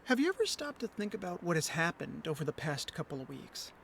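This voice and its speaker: A man's voice: a little high, very animated, a little fast, vocal fry, fairly bright tone, slight background noise, heavily acted. A man playing an earnest, playful, lovestruck ordinary young guy in an indie audio drama, with a theatrically joking manner. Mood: earnest, tender. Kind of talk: indie audio drama acting with first-person narration. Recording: music under the voice. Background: music.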